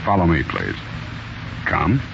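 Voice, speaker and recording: A man's voice: extremely low pitch, sing-song, takes it slowly, vocal fry. A man hosting a scripted 1940s radio mystery show, theatrically addressing the listeners. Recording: muffled, noisy 1940s radio recording.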